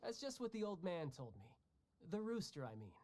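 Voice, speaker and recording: A man's voice: high voice, very animated, normal speed, a little mellow. An actor playing a cocky young male warrior who talks in a breezy, joking way. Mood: teasing. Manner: breezy, joking, laughs often. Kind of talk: video game dialogue. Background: game music.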